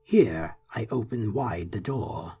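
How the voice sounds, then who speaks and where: Slow gothic poem narrator, husky and brooding, really theatrical, big pitch swings, bit of music behind. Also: expressive, warm tone. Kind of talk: dramatic gothic poetry narration. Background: faint music.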